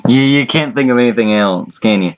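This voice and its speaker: Male contest host, casual and sweary, unhurried delivery, muffled lo-fi voice chat audio. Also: medium pitch, a little hiss or hum. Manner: crude, swearing.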